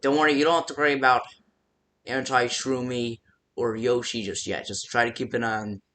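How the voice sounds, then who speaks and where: A man casually improvising phone-call style guide messages for a fan-made game, rambling and haltingly restarting his sentences. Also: mid-range voice, level tone, a bit bright, a little hiss or hum. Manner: rambling, halting, self-interrupting with stammered restarts.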